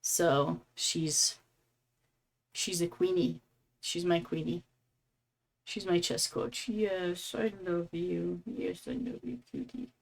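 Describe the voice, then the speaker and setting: Woman, Romanian accent, slightly low voice, chess streamer, casual live commentary, chatty. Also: slow, vocal fry.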